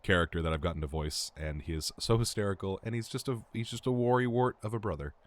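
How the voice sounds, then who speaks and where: A man's voice: on the low side, fast. A man who works as a voice actor, chatting casually and effusively as he answers questions.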